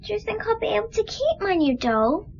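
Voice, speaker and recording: A woman's voice: very high-pitched, sing-song, fairly quick, gravelly, dull audio, slight background noise, full character voice. A female performer playing an innocent, trusting little girl with candid, childlike phrasing in a radio drama. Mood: hopeful, chatty.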